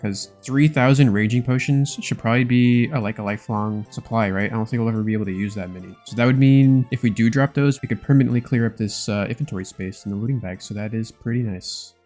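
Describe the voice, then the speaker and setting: A man's voice: mid-range voice, fast, hardly any pauses, a little mellow, soft background music. A man giving casual, laid-back commentary over his own gameplay in slangy gamer talk. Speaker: gaming video creator narrating his own play.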